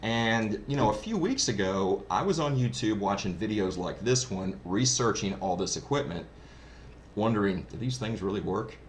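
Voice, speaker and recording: Male hobbyist reviewer, low-pitched, informal and keen, telling anecdotes with noticeable ambient noise behind. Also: lively intonation, normal speed. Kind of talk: casual first-hand review vlog. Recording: clearly noisy background ambience.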